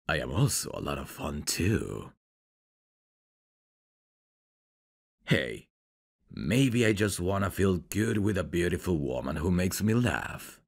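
Man acting a very deep, gravelly flirty guy. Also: full character voice. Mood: flirty, teasing.

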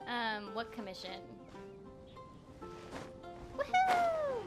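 A young woman chatting casually as she streams a game, giggly and quick to exclaim, on a close microphone indoors. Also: a little high, huge pitch swings. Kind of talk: casual chat over live gameplay. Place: indoor room at home. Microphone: close desk microphone with webcam. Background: game music.

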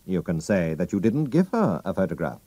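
A man voicing a calm, clever male detective who talks briskly as he reasons. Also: low voice, no room sound. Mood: cool, knowing. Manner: brisk, deducing, lively.

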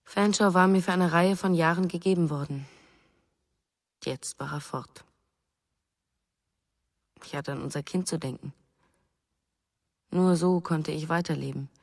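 A low-voiced, grieving young wife. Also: female voice, a little slow, pauses often, long reverb tail. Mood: grieving, restrained. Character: grieving, restrained young mother with a missing husband. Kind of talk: German radio drama acting.

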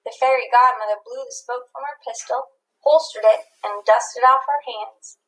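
A woman narrating a children's storybook in an expressive read-aloud style. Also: fairly high pitch, moderate pace, poor audio.

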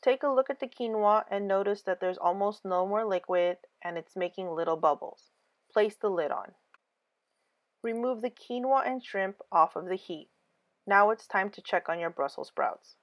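A woman's voice: medium pitch, moderate pace. Woman narrating a home recipe. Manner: clear step-by-step instructions. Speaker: cooking channel host. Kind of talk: scripted recipe voice-over.

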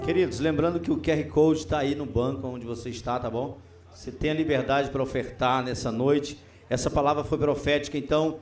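A man, a pastor gently leading an offering prayer and exhortation in a church hall. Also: a little high. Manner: gentle, coaxing, addresses listeners as "querido".

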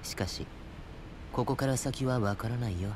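Man as cool, mid-pitched enigmatic young seer. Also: normal speed, noisy background, close to an everyday voice. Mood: calm. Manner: cool, detached, knowing. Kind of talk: anime-style video game acting.

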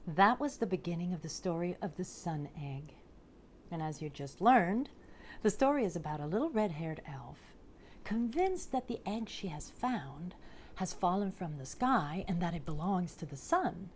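A woman in her forties presenting and reading to children in a deliberate, expressive, carefully enunciated way. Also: fairly low pitch, slight background noise. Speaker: storytelling presenter. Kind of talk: scripted narration for children, picture-book reading. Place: indoors in front of a curtain backdrop.

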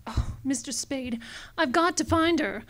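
A woman's voice: sits high, a little slow, fairly bright tone. An actress playing a polite, anxious young woman client in a detective radio drama, hesitant and pleading. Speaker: actor. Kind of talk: hard-boiled radio drama acting.

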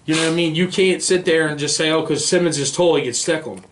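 A man's voice: fairly high pitch, fast, a little hiss or hum. An American man debating sports casually on a podcast, with an emphatic, argumentative, informal way of talking. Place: small indoor podcast studio. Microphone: boom-arm studio microphone with foam windscreen.

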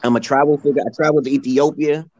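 A man in his forties with a New York accent, talking slowly and drowsily as an English tutor on a video call, leaning on "okay" a lot. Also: on the high side, fairly even intonation, darkish tone, dry recording, lo-fi sound. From United States. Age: 40s. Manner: slow, drawn-out and repetitive, leaning on "okay". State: just woken up, drowsy. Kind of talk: casual live-stream chat. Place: indoors at home, lying down. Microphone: video call, phone held close.

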